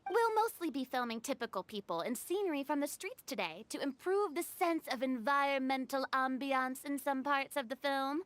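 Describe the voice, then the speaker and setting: A woman playing an energetic, bossy young film director in a video game, commanding, emphatic and easily exasperated. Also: high-pitched, normal speed, hardly any pauses, no echo. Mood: brisk, commanding.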